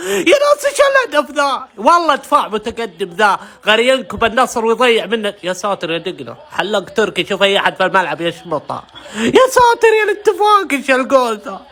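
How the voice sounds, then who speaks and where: A man's voice: very high voice, very animated, fast, sharp tone. Shrieking, strained man reacting to football. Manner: shrieking, chanting, laughing between outbursts. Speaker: football fan reacting to a match. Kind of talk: live match reaction.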